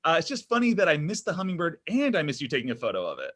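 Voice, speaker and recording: Insecure boyfriend, thirties, male actor, lightly high-pitched, conversational comedy delivery, presses for reassurance. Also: huge pitch swings, rapid-fire, a bit bright, exaggerated voice. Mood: suspicious. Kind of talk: comedy pilot table read.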